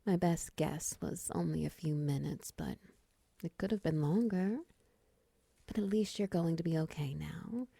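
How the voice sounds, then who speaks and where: A seductive, doting spider woman played by a female voice actor for a romance audio roleplay. Her voice is very dark and soft, lowish and breathy, slipping often toward a whisper. She coaxes slowly and teasingly, right up close on a dry mic. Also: no room sound, heavily acted. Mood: soothing, caring. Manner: slow, coaxing, teasing endearments. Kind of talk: intimate ASMR-style romance audio roleplay.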